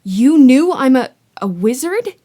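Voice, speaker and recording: A woman's voice: mid-range voice, steady tone, unhurried, mellow, natural voice. A young boy, startled and curious, who asks question after question in a rush, as a woman reading aloud puts him on. He sounds eager and urgent.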